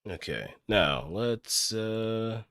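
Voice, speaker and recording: A man streaming casually, thinking aloud in a halting, musing way as he works. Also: lowish voice, animated, fairly bright tone, clean tone, dry recording, studio quality.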